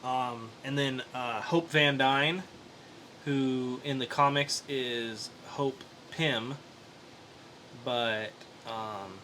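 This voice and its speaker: A man with a bright, forward voice talks casually about films as a podcast host. He is opinionated and likes to explain his reasoning, at an average pitch for a male speaker. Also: very slow, a little hiss or hum. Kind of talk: casual film review chat.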